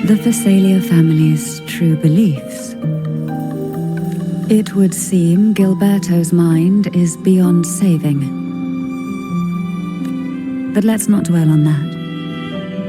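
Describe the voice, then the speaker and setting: An actress plays an elegant, enigmatic noblewoman from a video game story. She speaks very slowly in a lowish register, formal and languid, with measured poise. Music plays clearly behind her. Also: close to an everyday voice. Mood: calm, probing. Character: elegant, enigmatic noblewoman, head of a powerful family.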